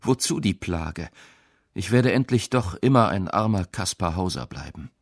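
Deep, creaky, anguished young poet. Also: male voice, animated, normal speed, no echo, clean studio sound, full character voice. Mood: bitter despair. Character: anguished, bitter young male poet reading his despairing letter. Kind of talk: dramatic literary quotation in a radio essay.

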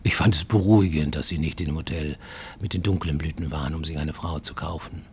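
An actor playing a paranoid, self-justifying fugitive who works as an illicit medic, narrating formally and deliberately in a radio play monologue. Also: male voice, rumbling low, fairly even intonation, a little mellow, slight background noise. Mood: defensive, insistent. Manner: formal, deliberate, insistently explaining himself to a listener.

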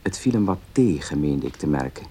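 An actress playing a reserved, courteous young letter-writer in a radio play, with a very husky, breathy voice. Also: female voice, rumbling low, expressive, fairly quick, warm tone, heavily acted.

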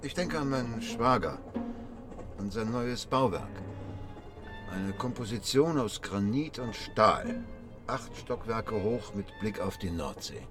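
Guarded husband, lowish voice, terse and evasive, radio play with music underneath. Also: male voice, animated, slow pace. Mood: distracted. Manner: reserved, terse. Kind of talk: radio drama dialogue.

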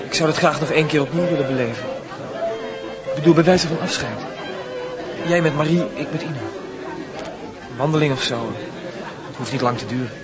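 A man plays an earnest, touchy young student in a radio play. His voice sits fairly high and he presses his points with feeling, with music and crowd murmur behind him. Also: moderate pace, pauses often, lightly acted. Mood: earnest, pleading. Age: young adult. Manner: insistent, emotional. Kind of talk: Dutch radio drama acting.

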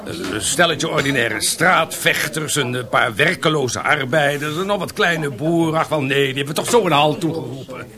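An actor playing a pompous, reactionary provincial gentleman in a radio drama, declaiming scornfully. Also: fairly high pitch, moderate pace, hardly any pauses, crowd noise, lightly acted. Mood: scornful, amused.

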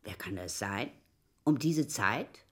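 An actress in a German radio drama playing a curious, superstitious, pert serving woman with a husky, often hushed voice. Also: extremely low pitch, expressive, slow pace, full character voice. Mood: eagerly prying.

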